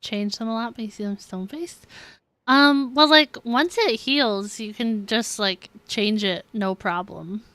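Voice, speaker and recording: A young woman livestreamer chatting casually with viewers in a halting, conversational, giggly way while playing a game. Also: on the high side, fairly bright tone, no echo. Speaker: game streamer.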